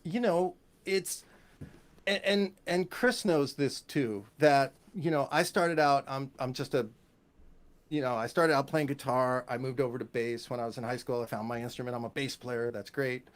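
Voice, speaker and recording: A man's voice: medium pitch, normal speed. A man from the United States chatting casually as an interview guest, joking and rambling as he talks. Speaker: guest, composer and bass player.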